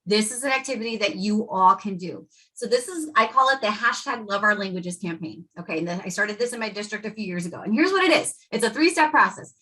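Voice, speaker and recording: A woman educator leading a workshop for teachers, talking with lively energy and acting out quotes from students and parents. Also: medium pitch, fast, hardly any pauses, rough recording. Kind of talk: presenting to educators.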